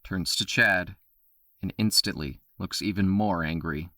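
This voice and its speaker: A man narrating an audio drama in a steady storytelling voice. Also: sits low, unhurried, dry recording, clean studio sound.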